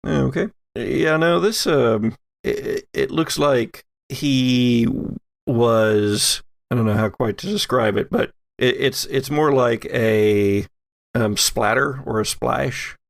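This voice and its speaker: A man narrating a tabletop roleplaying game conversationally as game master, pausing to think as he goes. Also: mid-range voice, vocal fry, no echo, studio quality.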